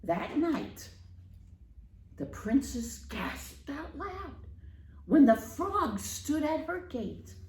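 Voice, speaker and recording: A woman children's librarian reading aloud at storytime in a teacherly way, posing questions and pausing for answers. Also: sits high, big pitch swings, darkish tone, reverberant.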